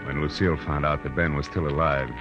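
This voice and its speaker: An actor playing a tough, wry private eye in a 1940s radio crime drama, speaking in clipped slang on an old recording. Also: male voice, a bass voice, steady tone, quick pace, lo-fi sound, over loud music. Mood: grim narration. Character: hard-boiled male private eye, wry, tough and insistent. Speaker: detective and first-person narrator.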